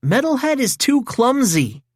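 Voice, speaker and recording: A serious, bossy teenage turtle boy who leads his brothers in a storybook , acted by a woman. His delivery is commanding and clipped, pitched up, in a very dry sound. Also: very animated, measured pace, dry recording, full character voice. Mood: stern. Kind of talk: children's storybook character voice.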